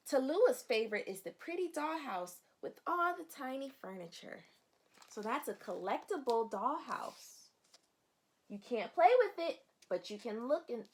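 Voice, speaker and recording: Higher-pitched woman reading aloud to children. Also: measured pace. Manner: expressive, explains words simply, poses questions. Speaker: teacher reading a picture book aloud to young children. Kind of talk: read-aloud storytelling with questions to children.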